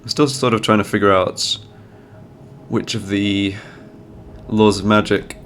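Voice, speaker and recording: A man's voice: lowish voice, flat delivery, unhurried, a bit bright, soft background music. A man with a cold and a slightly nasal voice, thinking aloud as he plays a strategy game and explains each move. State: has a cold, sounds nasal. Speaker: gaming channel host. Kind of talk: casual strategy game commentary.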